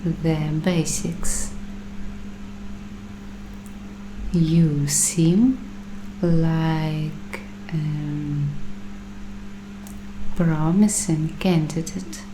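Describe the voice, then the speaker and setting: A woman playing a paranoid doomsday-prepper waitress in an ASMR roleplay, speaking softly and deliberately in a secretive, conspiratorial way, sometimes in a whisper. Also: sits low, fairly even intonation, echoey room, noisy background. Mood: approving, businesslike.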